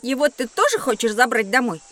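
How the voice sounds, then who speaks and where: A woman playing a curious, mischievous young boy in a children's educational audio drama, teasing and excitable. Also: a little high, fairly quick.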